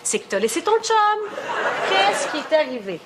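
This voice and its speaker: An actress with a Québécois accent playing a sharp-witted woman who needles her friends playfully. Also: sits high, sing-song, normal speed, over a noisy crowd, heavy background noise. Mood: teasing. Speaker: sitcom character, friend. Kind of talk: sitcom acting. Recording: TV sitcom audio with music and audience.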